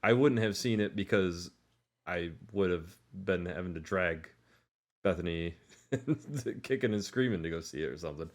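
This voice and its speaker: A man's voice: deep voice, normal speed. A man co-hosting a podcast, talking informally in a casual discussion and hedging his points as he goes. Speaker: podcast co-host.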